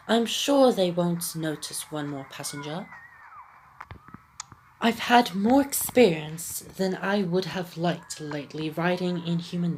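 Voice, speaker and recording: Devoted, proper Dalmatian dad from a video game, read plainly and fairly low by a woman with a phlegmy throat. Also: a little hiss or hum, natural voice, poor audio. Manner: reads game dialogue aloud in a plain voice.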